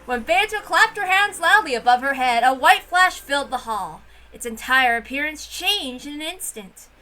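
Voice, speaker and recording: High-voiced woman reading a story aloud. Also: animated, normal speed, sharp tone, soft background music. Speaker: reader of a visual novel. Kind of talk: casual chat and story narration.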